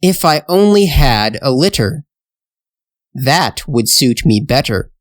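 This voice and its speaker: Narrator as young fairytale hero, earnest, modest, medium pitch, very slow, storybook. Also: big pitch swings, no echo, clean studio sound.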